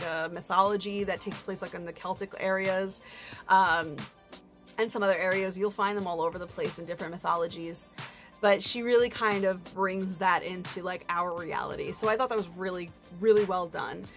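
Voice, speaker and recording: A woman's voice: medium pitch, fairly bright tone. A woman hosting a book review in a casual, chatty way, with informal, self-deprecating, slangy asides.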